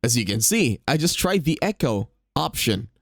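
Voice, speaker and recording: A man's voice: mid-range voice, very animated, moderate pace, fairly bright tone, no echo. Guy doing a tutorial voiceover, really up and down sing-song delivery, close dry sound. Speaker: tutorial host. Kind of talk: scripted tutorial narration.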